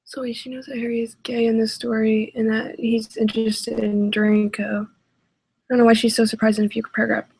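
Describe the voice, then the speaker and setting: A woman's voice: on the high side, little pitch movement, fairly quick, gravelly, a little mellow, breathy edge, rough recording. A woman giving casual reaction commentary in a hesitant, exasperated way. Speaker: reaction video host.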